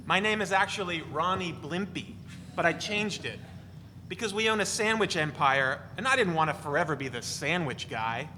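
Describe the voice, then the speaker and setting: A man reading a bright-voiced joker telling tall tales. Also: fairly high pitch, big-room sound, a little hiss or hum. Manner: bright, teasing, rambling banter. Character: joking young man spinning tall tales at dinner. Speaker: reader in a staged reading.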